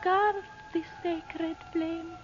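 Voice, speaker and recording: An actress playing an ancient Egyptian princess who guards a sacred flame, halting and solemn in old radio drama style. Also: female voice, extremely high pitch, steady tone, heavily acted. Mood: solemn trance. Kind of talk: old-time radio serial acting. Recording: dull old radio recording, loud music.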